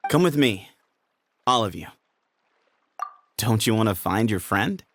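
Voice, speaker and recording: An actor playing a sly, evasive desert guide in a video game, with a teasing, cryptic drawl. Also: male voice, on the low side, sing-song, unhurried, noisy background. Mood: smug, teasing.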